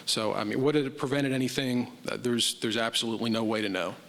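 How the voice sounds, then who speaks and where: A man, a police captain, answering a review board's questions in a measured, explanatory way at a public meeting. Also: medium pitch, creaky, fairly bright tone, long reverb tail. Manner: measured, explanatory, admits uncertainty. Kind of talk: formal public meeting testimony. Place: city council chamber.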